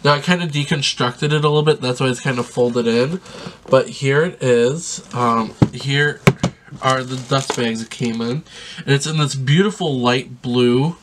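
A man's voice: medium pitch, measured pace, background noise. A young man in his twenties, congested from a sinus infection, chatting enthusiastically and rambling with frequent ums as he unboxes a gift in a small bedroom, filmed close to the camera. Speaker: vlogger. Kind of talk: casual unboxing vlog.

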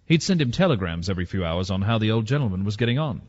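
An actor playing a polite, deferential English family solicitor, speaking formally in an audio drama. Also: male voice, fairly low pitch, big pitch swings, racing, a little mellow. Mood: respectful, informative. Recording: muffled, reverberant recording.

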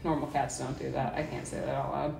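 A woman's voice: a bass voice, level tone, brisk, a little mellow. A young adult woman cosplayer chatting casually on a livestream, laughing a lot. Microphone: phone livestream. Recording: echoey room, clear background noise.

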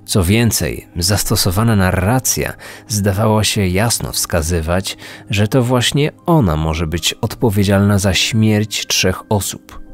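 A man hosting a true-crime podcast with serious, deliberate scripted narration. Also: lowish voice, expressive. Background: faint music.

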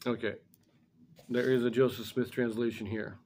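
A middle-aged man with a soft-edged, mellow voice at an ordinary male pitch. He teaches scripture informally, reading and commenting in a deliberate, explanatory way, sometimes correcting his own wording. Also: flat delivery, slight background noise. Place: small indoor room in front of a blackboard.

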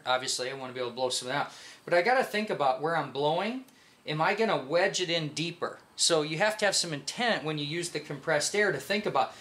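A man's voice: fairly high pitch, pushed and bright. An American man in his forties speaking as a mechanic giving an informal hands-on tutorial, conversational and instructive, often checking in with questions. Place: home garage workshop.

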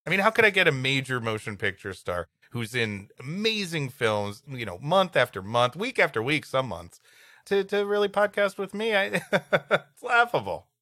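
A man's voice: on the high side, big pitch swings, normal speed, sharp tone, no echo, studio quality. A man co-hosting a comedy podcast, bantering and reading stories. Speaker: podcast co-host.

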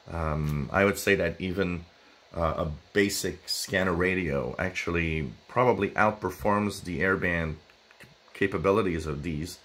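A man presenting radio gear in a casual, unscripted way, with halting, hesitant phrasing. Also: deep voice, bright, forceful tone. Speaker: amateur radio video presenter. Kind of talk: casual product talk, unscripted.

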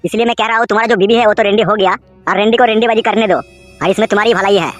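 A man plays a brash young cartoon henchman with a raised, very fast voice. He lectures insistently like a know-it-all nephew. Music plays behind him. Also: high-pitched, fairly even intonation, close to an everyday voice. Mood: pushy. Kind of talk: amateur comedy cartoon dialogue.

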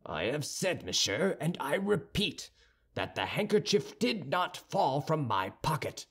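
A man voicing a haughty, sardonic young musketeer in an audiobook, formal, measured and condescending. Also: a little high, sing-song, a bit bright, husky, pitched above the performer's own voice, full character voice. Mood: offended. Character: cool, haughty young musketeer with churchly airs, dryly sardonic. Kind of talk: audiobook character dialogue.

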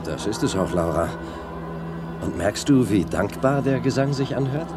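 An actor playing an earnest, brave young space hero with dramatic delivery in a children's radio play. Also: male voice, mid-range voice, animated, normal speed, over loud music, natural voice. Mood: resolute.

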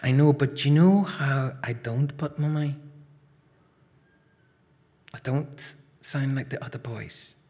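A man voicing a cheeky, anxious young Northern Irish boy, excitable and pleading, in a told story. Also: mid-range voice, slow pace, pauses often, voice pushed up.

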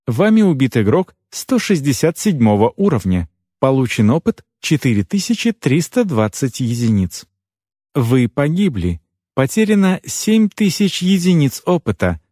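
A man's voice: medium pitch, very animated, normal speed, warm tone, husky, no room sound, studio quality. Man voicing a formal game system announcer. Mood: neutral, formal. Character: impersonal game system announcing kills and levels.

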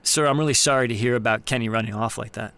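A man's voice: mid-range voice, quick pace, fairly bright tone, lightly acted. Man acting a polite, earnest assistant. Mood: sympathetic, awkward. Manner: deferential, earnest. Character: polite, sympathetic male assistant to a doctor. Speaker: actor in an audio drama.

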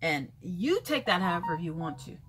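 A 51-year-old woman vlogging a sassy, emphatic rant from a bright room with books, repeating lines for effect and calling listeners baby and honey, gesturing as she talks. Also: fairly low pitch, moderate pace, a little hiss or hum. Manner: lively hand gestures while talking. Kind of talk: casual talking-head rant. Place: bright room with shelves of books by a window, indoors.

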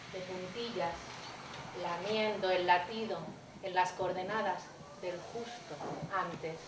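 Woman declaiming poetry, echoey and distant. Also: lowish voice. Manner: dramatic, deliberate declamation. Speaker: spoken-word performer. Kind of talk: stage poetry recital. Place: dark theatre stage, indoors. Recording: noisy, echoey theatre recording from afar.

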